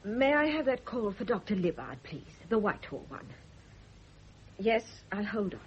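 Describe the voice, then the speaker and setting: An actress playing a dutiful, efficient nurse in a radio play, crisp, polite and deferential. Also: female voice, medium pitch, sing-song, normal speed, lightly acted. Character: dutiful, efficient female nurse assisting a doctor. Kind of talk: radio drama acting. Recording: muffled, noisy old radio recording.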